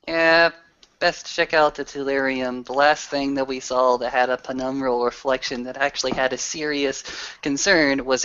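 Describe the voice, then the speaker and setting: A man's voice: mid-range voice, pushed and bright, clear, resonant tone. A man improvising narration for a tabletop roleplaying game, wordy and hesitant in his phrasing. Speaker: tabletop game storyteller or player. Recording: dull lo-fi online call audio.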